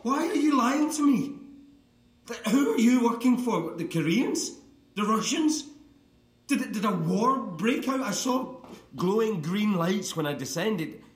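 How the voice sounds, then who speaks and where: An actor playing a distraught, suspicious astronaut in an audio drama, with an agitated, halting delivery. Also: male voice, high voice, animated. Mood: panicked, angry. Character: distraught, suspicious astronaut returned after decades away. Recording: roomy echo, music underneath.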